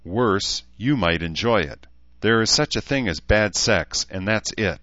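A man's voice: fairly low pitch, expressive, measured pace, sharp tone, dry recording, lo-fi sound, voice pushed up. A man voicing a blunt, wise but caring elf woman who talks in clipped, broken phrases, in an audiobook story. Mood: blunt, intense.

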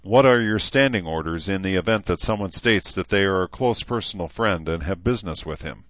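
A man voicing a gruff, hot-tempered veteran drill sergeant who growls, barks and swears. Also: sits low, brisk, a bit bright, no room sound, muffled recording. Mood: stern. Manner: barking, growling, profane.

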